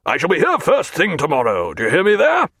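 A man's voice: medium pitch, wildly swooping pitch, moderate pace, no room sound, studio quality. A man voicing a hot-tempered naval captain with a strong, commanding, blustering delivery, in an audiobook. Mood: furious.

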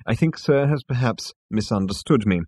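A man's voice: medium pitch, lively intonation, normal speed, a little mellow, breathy edge, no echo. A man voicing a small, wizened alien waiter in a storybook, formal and obsequiously polite with a faintly sarcastic edge. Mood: politely strained.

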